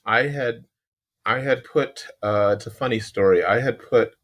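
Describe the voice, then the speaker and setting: A man in his sixties from Chicago with a Chicago accent, a podcast guest telling his story in an unhurried way and often pausing to find words. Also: medium pitch, a bit bright. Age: 60s. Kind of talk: relaxed interview storytelling.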